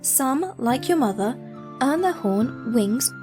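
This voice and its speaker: High, unhurried, gentle mother pony, voiced by a woman. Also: expressive. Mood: tender. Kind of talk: animated audio drama acting. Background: music.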